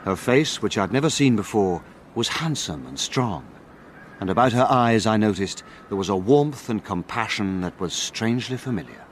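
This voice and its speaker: An actor playing a reflective friend who narrates the tale in a measured, literary voice in a radio drama. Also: male voice, on the low side, expressive, a little hiss or hum, lightly acted. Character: reflective male narrator, loyal friend looking back. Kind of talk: dramatized first-person storytelling, radio drama.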